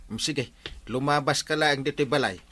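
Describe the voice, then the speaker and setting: An actor with an Ilocano Filipino accent playing a grudging, exasperated neighbour in a radio comedy drama. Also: male voice, medium pitch, normal speed, sharp tone, a little hiss or hum, natural voice. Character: grudging, exasperated neighbour man lending things.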